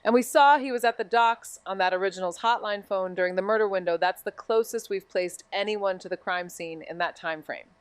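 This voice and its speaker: A woman's voice: medium pitch, a little fast, few pauses, clean tone, no room sound, lightly acted. A woman acting a wry, profane young amateur detective in a noir audio drama, moving between casual banter and narration. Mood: intrigued, wry.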